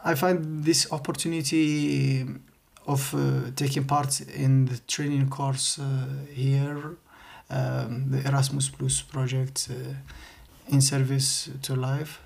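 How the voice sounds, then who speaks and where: Slow, even-toned man with an Algerian accent. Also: mid-range voice, vocal fry. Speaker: podcast guest. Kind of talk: informal interview talk.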